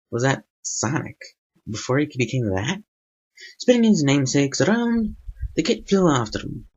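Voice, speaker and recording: A man's voice: on the high side, a little mellow, breathy edge, highs cut off, voice pushed up, full character voice. A man putting on a narrator voice to read a cartoon adventure tale aloud. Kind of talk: scripted narration in a put-on voice.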